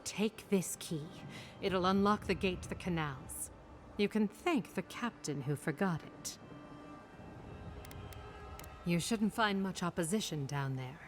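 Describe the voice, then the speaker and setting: A woman's voice: fairly low pitch, slow, long pauses. An actress playing a guarded, world-weary woman offering help in a fantasy game cutscene. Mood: guarded, businesslike. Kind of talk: dramatic video game cutscene acting. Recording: music clearly behind the voice.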